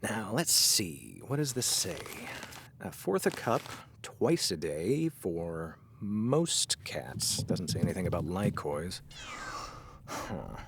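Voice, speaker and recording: A man's voice: deep voice, big pitch swings, vocal fry, background noise, heavily acted. Man playing an earnest, swooping sentimental cat lover. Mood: musing. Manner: halting, earnest, tender. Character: gentle, earnest, sentimental middle-aged male cat lover. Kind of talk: naturalistic comedy audio drama acting.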